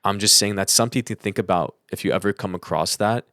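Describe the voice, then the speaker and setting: A young American man with a notably deep voice co-hosts a podcast. He speaks casually and earnestly, in the manner of someone handing out advice. The sound is very dry. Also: quick pace, sharp tone, dry recording. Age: young adult. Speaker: podcast co-host.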